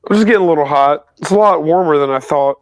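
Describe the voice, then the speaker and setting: A man playing a tabletop game in a casual, relaxed way, swearing now and then. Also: a little high, quick pace, no echo. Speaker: player.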